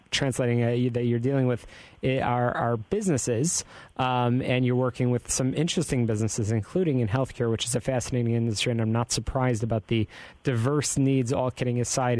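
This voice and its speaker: A man's voice: medium pitch, a little mellow, no echo, low-quality recording. A man hosting a business radio show who asks long, rambling questions with joking asides. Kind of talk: casual radio interview.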